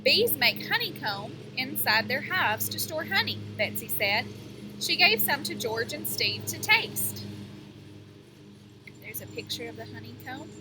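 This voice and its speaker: A woman teacher reading a picture book aloud to young children, pausing to explain things and ask them questions. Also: sits high, big pitch swings, background noise.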